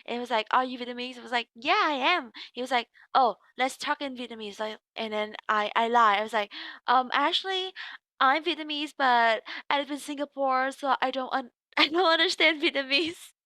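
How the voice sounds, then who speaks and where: A woman's voice: sits high, normal speed, pushed and bright, no room sound. A woman in her twenties chatting casually as an English tutor, telling stories full of "like" and acting out what people said. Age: 20s. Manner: chatty storyteller, many "like"s, acts out quotes. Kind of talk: casual conversation practice.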